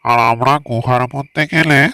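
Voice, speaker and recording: A man voicing a blustery, gruff ogre husband in a cartoon story, with emphatic delivery. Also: medium pitch, moderate pace, bright, forceful tone, no room sound. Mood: pleased, kindly. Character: gruff man-eating ogre husband, grateful yet menacing.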